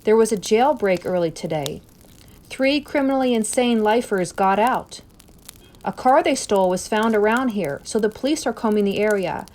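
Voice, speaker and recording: A woman voicing a worried man in a story reading, with a rushed, urgent, insistent delivery. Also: mid-range voice, lively intonation, darkish tone, natural voice.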